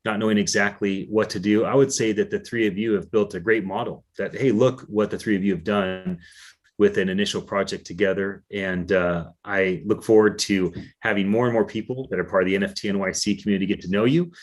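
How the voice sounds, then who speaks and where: A man's voice: on the low side, steady tone, brisk. A man hosting a live-streamed interview, friendly and effusive with his guests. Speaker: event co-producer and host.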